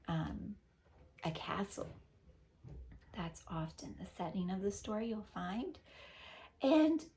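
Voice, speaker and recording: Woman teacher, mid-pitched, patient and slow-explaining, reads a storybook to children. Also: darkish tone. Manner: patient, explains slowly to young listeners. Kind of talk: read-aloud lesson for children.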